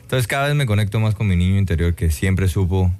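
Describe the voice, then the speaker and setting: Man, very low voice, reflective interview guest, hesitant fillers. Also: expressive, fast talker, darkish tone. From Guatemala. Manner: reflective, many "eh" fillers and tag "¿no?". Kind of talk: casual interview chat.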